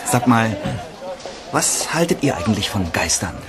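An actor playing an excitable, spooked but eager young man visiting a theme park in a German radio play, animated and sometimes hesitant. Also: on the low side, normal speed, a crowd in the background. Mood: spooked, surprised.

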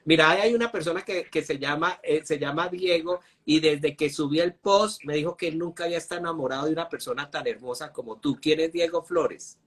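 A young man hosting a live chat, relaxed and hesitant with many fillers. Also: a little high, a little fast, hardly any pauses. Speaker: host. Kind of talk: casual live interview. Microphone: phone camera close up.

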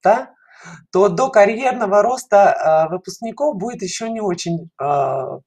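A middle-aged woman explaining things conversationally as an interview guest, halting and often rephrasing, on a headset in a video call. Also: mid-range voice, lively intonation, darkish tone, husky. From Russia. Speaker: guest, children's animation studio educator. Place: indoor room, video call.

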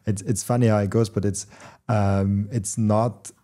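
Flat-voiced German-speaking man, hesitant. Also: lowish voice, slow. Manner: repetitive restarts, searching for words. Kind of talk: casual interview chat. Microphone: desk mic on video call.

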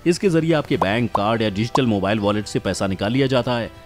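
A man with a fairly low voice narrates a scripted explainer. His delivery is emphatic and lively, with pitch that moves a lot, and he addresses the listener directly. Faint music plays behind him. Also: fast talker. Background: background music.

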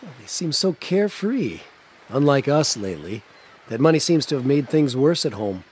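A man's voice: mid-range voice, very animated, moderate pace, a little mellow, a little hiss or hum, natural voice. An actor playing a good-humoured, easily exasperated middle-aged family father in an audio drama. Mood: downcast.

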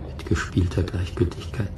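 A man's voice: low voice, level tone, normal speed, noisy background, close to an everyday voice. An actor playing a brooding, introspective man in a sci-fi radio play monologue. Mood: wistful. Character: brooding man recalling a woman he loved.

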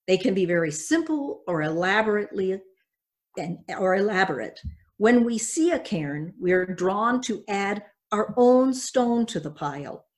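An older woman pastor giving a measured, reflective sermon, reading from notes at a church pulpit. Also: medium pitch, lively intonation.

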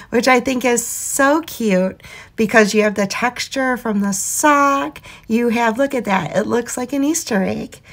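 A woman hosting a craft tutorial in a chatty, enthusiastic voiceover, her voice going hoarse. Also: on the high side, a bit bright. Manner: chatty, enthusiastic, laughs often. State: losing her voice. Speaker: craft channel host.

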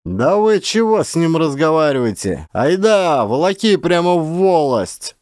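Man acting a high-pitched, shouting, spiteful peasant. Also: very animated, a little slow, no room sound, studio quality. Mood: gleeful, accusing. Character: excitable spiteful peasant neighbour, gleeful rabble-rouser. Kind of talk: one-man audio play.

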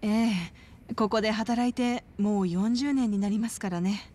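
An actress voicing a polite, composed middle-aged orphanage worker with a worried, formal way of speaking in a video game cutscene. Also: female voice, medium pitch, unhurried, darkish tone, natural voice. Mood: calm, earnest.

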